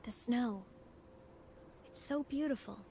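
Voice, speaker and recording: A woman voicing a young ghost girl in a video game, a former student who speaks gently, with a wistful and teasing manner. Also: fairly high pitch, slow pace, slight background noise. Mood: soft wonder.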